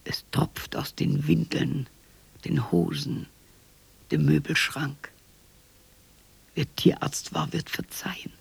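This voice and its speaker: An actor playing a broken, bitter old man in a radio drama monologue, hoarse and halting, often breaking into whispers. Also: fairly high pitch, fairly even intonation, full character voice. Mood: haunted, muttering.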